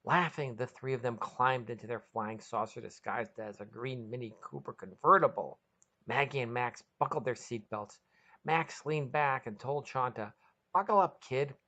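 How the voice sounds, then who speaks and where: A woman's voice: rumbling low, normal speed, bright, forceful tone. A woman hosting a podcast and narrating a scripted short story.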